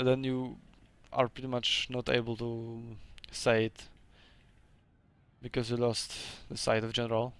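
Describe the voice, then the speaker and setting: A man's voice: medium pitch, clean tone, no echo. A young man with a Polish accent casually commentating his own strategy game match, chatty and laughing often, with hesitant second-language phrasing.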